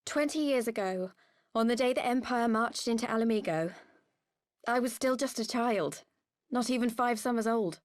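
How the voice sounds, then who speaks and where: A young woman in her twenties voiced by an actress confesses her grief in a video game drama. Her voice is high and very dry, with an earnest, halting delivery. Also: dry recording, close to an everyday voice. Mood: sorrowful, confessional. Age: 20s. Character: grieving young woman revealing her painful past.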